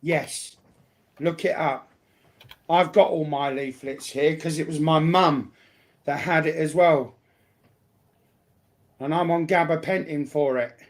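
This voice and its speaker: A man's voice: fairly high pitch, fairly even intonation, slow pace, pauses often. A blunt, sweary man chatting casually as a guest on a livestream.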